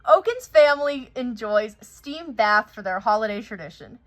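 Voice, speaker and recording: A woman reading a children's picture book aloud in an expressive storytelling style. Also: fairly high pitch, moderate pace.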